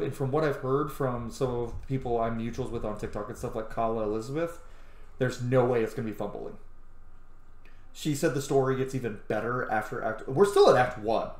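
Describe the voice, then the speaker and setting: A man's voice: mid-range voice, a little mellow. A man in his thirties giving enthusiastic, opinionated and casual commentary as he plays a video game. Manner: enthusiastic, opinionated, swears freely. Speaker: gaming let's player. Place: small room at home, indoors. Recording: roomy home recording under game audio. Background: game music.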